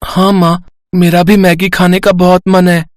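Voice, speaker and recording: A man's voice: high-pitched, level tone, a little slow, no echo, studio quality. A man voicing an eager young boy in a children's story, childlike and teasing. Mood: pleading. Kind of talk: Hindi children's story voice acting.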